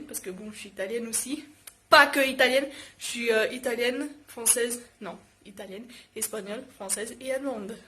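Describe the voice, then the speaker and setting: A woman's voice: fairly high pitch, fairly bright tone. A woman chatting informally in a slangy, scattered way for a home cooking vlog, often interrupting herself. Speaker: vlogger. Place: home kitchen in an apartment. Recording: noisy home recording, clattering pots and dishes.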